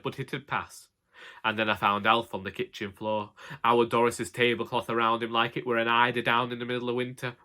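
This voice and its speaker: A man's voice: lowish voice, sharp tone. A man with a Northern English accent narrating a comic first-person audiobook as a droll, grumbling, long-suffering husband.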